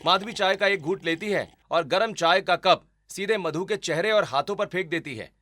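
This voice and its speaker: Bright-voiced man narrating a family story. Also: high voice, moderate pace, soft background music. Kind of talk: scripted dramatic storytelling narration.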